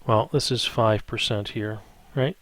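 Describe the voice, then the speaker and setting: A man's voice: lowish voice, unhurried, no echo. A man working through a math lesson as an instructor, thinking aloud in an improvised way and often checking in with short questioning tags. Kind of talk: improvised step-by-step spreadsheet tutorial.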